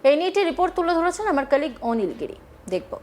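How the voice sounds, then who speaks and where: A woman's voice: high-pitched, very animated, rapid-fire, noisy background. A woman presenting scripted news as an anchor.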